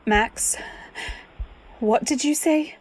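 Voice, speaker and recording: A woman's voice: sits high, drawn-out words, slightly rough, full character voice. A woman playing an anxious, devoted wife who narrates her story in dramatic old-time radio style. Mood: anxious, pleading. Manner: dramatic, earnest delivery. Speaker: narrator and actor.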